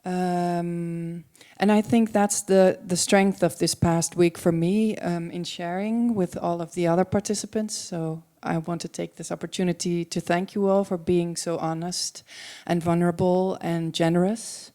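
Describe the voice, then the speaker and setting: Woman, native Dutch speaker, panel participant. Low, very mellow voice, considered and articulate, speaking into a handheld mic. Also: steady tone, measured pace. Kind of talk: personal reflection at a public panel. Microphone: handheld microphone.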